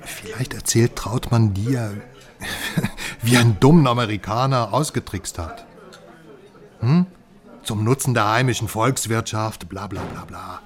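A man acting a shrewd go-between in a radio crime drama. His voice sits fairly low, and he speaks casually, with a wry, coaxing persuasiveness. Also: unhurried, lightly acted. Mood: coaxing, mocking. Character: shrewd, persuasive male go-between for an American businessman.

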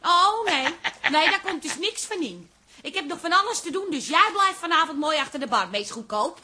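Bossy, animated, high-voiced barmaid. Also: female voice, heavily acted. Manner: brisk, wheedling, familiar banter. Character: bossy, scheming, chatty female café barkeeper. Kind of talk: radio drama acting.

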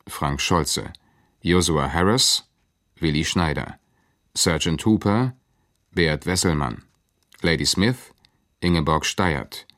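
A male announcer reads radio drama credits formally. His voice is deep and slow, with measured phrasing in a dry studio sound. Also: a bit bright, no echo.

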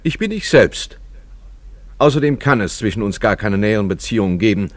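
A haughty nose that passes for a high official in a radio play. His speech is stiff and condescending, with big swings in pitch. The sound is dry and close. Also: animated, a little fast, no room sound. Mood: haughty, dismissive. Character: haughty, aloof nose posing as state councillor. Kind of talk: German radio play acting.

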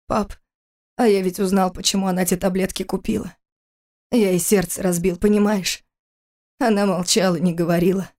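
A man's voice: high-pitched, a little mellow, natural voice. A woman voicing an anguished grown son, bitter and broken by grief, pleading in a pained way in an audiobook.

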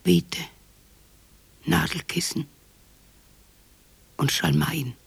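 An actress playing a weary, pleading old woman in a lyrical radio drama, with a breathy, husky half-whisper. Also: low-pitched, fairly even intonation, drawn-out words, dry recording, heavily acted. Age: older.

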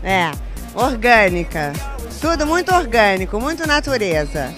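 Brief: female, slow and very expressive, reading short intro lines with music behind. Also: mid-range voice.

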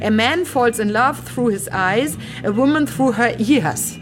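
A woman's voice: fairly high pitch, unhurried, music in the background, natural voice. An actress playing an insistent, teasing woman in a naturalistic German radio drama, slipping into English quotations. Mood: amused, teasing. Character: insistent woman hunting a writer's lost typewriter.

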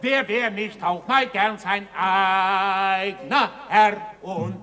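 Casting: brash street balladeer, very high bright voice, folksy mockery, theatrical sung declamation over music. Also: male voice, exaggerated voice. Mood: mock warning. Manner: declamatory, drawn-out phrases between spoken asides. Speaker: ballad singer. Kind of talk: theatrical half-sung ballad in a radio play. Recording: 1960s radio play with musical accompaniment.

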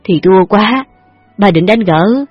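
A woman's voice: mid-range voice, expressive, slow pace, slightly rough, dry recording, muffled recording, soft background music. A woman voicing an old father who gambles, sounding wheedling and defensive. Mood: pleading.

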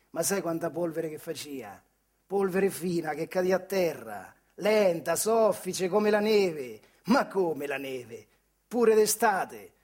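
An actor playing an embittered old retired factory worker in a radio theatre monologue, in earthy, colloquial central Italian dialect from the Marche, moving between hushed and loud. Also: male voice, sits high.

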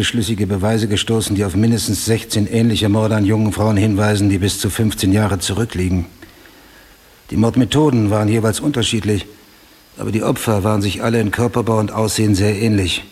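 A husky, driven police detective. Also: male voice, on the low side, fairly even intonation, a little mellow. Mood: grave, determined. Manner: formal, deliberate, insistent. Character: driven, obsessive male police homicide detective sergeant. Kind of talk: German radio drama acting.

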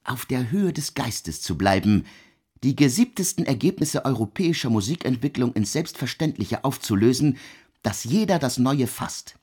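An actor playing a proud, intellectual German composer in a radio drama, speaking in earnest, eloquent, deliberate phrases. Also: male voice, mid-range voice, darkish tone, husky. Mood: intense, cerebral. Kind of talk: literary radio drama dialogue.

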